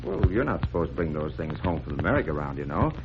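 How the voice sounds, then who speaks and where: A man's voice: a bass voice, sing-song, quick pace, gravelly, full character voice. A man playing a puzzled, skeptical friend with questioning banter in 1940s radio drama style. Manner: questioning, mock-stern, bantering. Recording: dull vintage radio recording, music behind.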